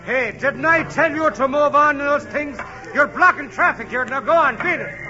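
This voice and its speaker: A high-voiced barking street cop. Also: male voice, fast, music in the background. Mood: annoyed. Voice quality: barking, rough orders. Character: gruff male street cop, bossy and impatient. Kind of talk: 1940s radio acting. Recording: dull lo-fi old radio recording.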